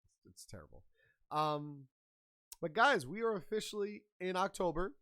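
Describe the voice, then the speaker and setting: A man's voice: sits high, animated, normal speed, sharp tone. A man hosting a casual podcast chat in a hyped, slangy, playful way. He sounds a bit nasal and congested while getting over a sinus infection. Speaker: podcast host.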